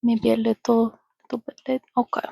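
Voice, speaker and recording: A woman speaking in a hushed, soothing, often whispered ASMR style, as if calming a companion. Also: fairly high pitch, normal speed, vocal fry, husky, no room sound, clean studio sound. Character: calm, sociable female vampire soothing a companion. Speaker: ASMR host. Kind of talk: soft-spoken ASMR reading and roleplay.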